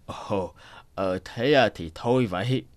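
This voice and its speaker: A man's voice: fairly low pitch, takes it slowly. A man playing a helpful old villager in a cartoon folk tale, mild and a little hesitant. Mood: mildly let down.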